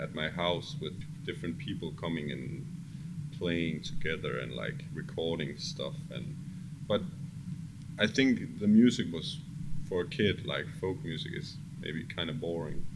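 A man's voice: sits low, unhurried. A man from Denmark with a Danish accent, a music producer chatting casually as an interview guest. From Copenhagen, Denmark. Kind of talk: casual chat. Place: cramped studio. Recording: noisy studio background.

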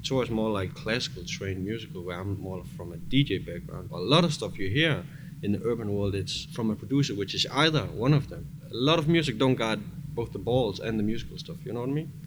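A man's voice: fairly low pitch, a little fast. A man talking casually and informally as a music producer being interviewed, with slightly non-native English phrasing. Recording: clearly noisy background ambience.

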